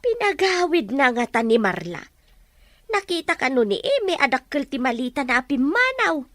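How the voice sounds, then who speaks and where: A woman playing an inquisitive, expressive character in an audio drama, speaking with an Ilocano accent and a questioning manner. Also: high-pitched, moderate pace, dry recording. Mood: doubtful, gossipy. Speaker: drama performer.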